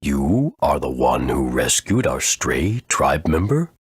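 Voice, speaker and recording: An ancient, solemn elder of a creature tribe for a video game. The voice is extremely deep and very husky, with slow, formal, weighty phrasing in a dry recording. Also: male voice, sing-song, no echo, exaggerated voice. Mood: weary, grave. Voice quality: husky, rumbling.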